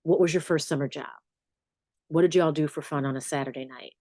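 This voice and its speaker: A middle-aged woman with a notably low, deep voice talks in a relaxed, storytelling way, dropping into lively asides now and then. She sounds close and dry, as if right at a studio microphone. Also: brisk, dry recording. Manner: warm storytelling, animated asides. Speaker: journalist and podcast host. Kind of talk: relaxed interview answers.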